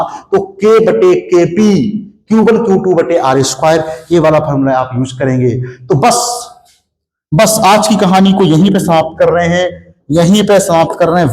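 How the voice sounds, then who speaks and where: High-voiced man teaching physics, sore throat. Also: darkish tone, roomy sound, poor audio. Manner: explains step by step, asks students questions and answers them himself. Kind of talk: classroom physics lecture. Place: studio with a board, hot, no air conditioning.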